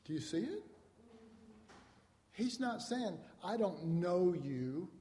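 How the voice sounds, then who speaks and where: A man delivers a conversational sermon, reading verses aloud and breaking them down. His voice sits a little high but sounds mellow. He plays out the quoted lines with rising emphasis, and a long reverb trails behind him as in a church hall. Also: very animated, slow. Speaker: preacher. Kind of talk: sermon teaching on scripture.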